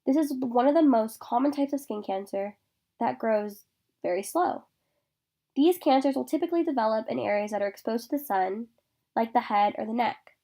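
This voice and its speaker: A woman's voice: on the high side, animated, normal speed, dark tone. A young woman giving an informational class presentation over slides, congested from a sinus infection and reading steadily through lists of facts. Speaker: student presenter.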